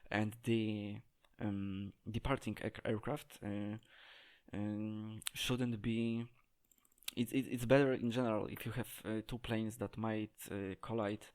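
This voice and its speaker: A man giving an unscripted gameplay tutorial, talking in a hesitant, halting way as he searches for words. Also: lowish voice, flat delivery, darkish tone, clear, resonant tone. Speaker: game tutorial presenter.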